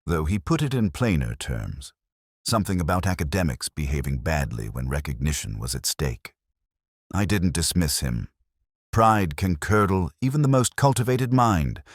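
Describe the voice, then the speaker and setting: A man playing a brooding, world-weary police inspector, narrating a mystery in measured, literary, ruminative first person. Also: extremely low pitch, no room sound, studio quality, exaggerated voice.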